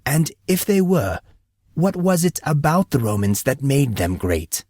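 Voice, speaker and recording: A man's voice: mid-range voice, sing-song, measured pace, a little mellow, no echo, pitched above the performer's own voice. Casting: male reader as courteous, reflective male mentor for audiobook; formal and probing, dry close sound. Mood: thoughtful, probing. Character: polite, thoughtful adult man questioning a boy like a mentor. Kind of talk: audiobook character dialogue.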